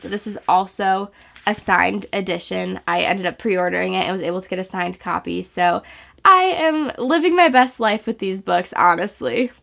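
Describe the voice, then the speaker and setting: A young woman chats to her viewers at home, upbeat and gushing, with a gravelly edge of fry running through her speech. She sounds a touch stuffy from a sore throat. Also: medium pitch, a bit bright. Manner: gushing, enthusiastic, chatty with fillers. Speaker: book vlogger. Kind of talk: casual book haul chat to camera. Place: home room in front of bookshelves.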